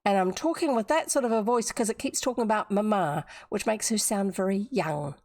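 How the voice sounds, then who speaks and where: A woman's voice: mid-range voice, a little fast, darkish tone. A woman with a New Zealand accent reading a fairy tale aloud on a livestream, breaking off often for chatty asides and explanations. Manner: chatty, digressive, explains old words.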